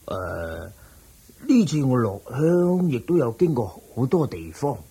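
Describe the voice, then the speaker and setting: A man's voice: medium pitch, very animated, a little slow, mellow, breathy edge, full character voice. An actor playing a loyal household steward in a Cantonese radio drama, humble and eager as he reports to his master. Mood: deferential.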